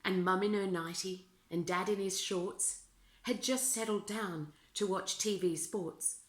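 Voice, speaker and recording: A woman reads aloud in a medium-pitched voice. She tells rhyming children's verse expressively, like a storyteller at home with her listeners. The recording is roomy, with noticeable echo. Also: unhurried. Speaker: storyteller reading a Christmas poem aloud. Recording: roomy, echoing indoor sound.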